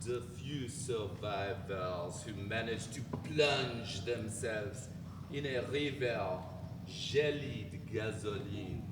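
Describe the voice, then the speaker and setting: A man's voice: lowish voice, slow. Man playing a sneering, echo-drenched French intellectual. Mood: sardonic. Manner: mocking, declamatory, sprinkled with French exclamations. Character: sneering, pompous French male intellectual, sarcastic and theatrical. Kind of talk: dramatic reading of a novel before an audience. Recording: echoey hall, noisy live event recording.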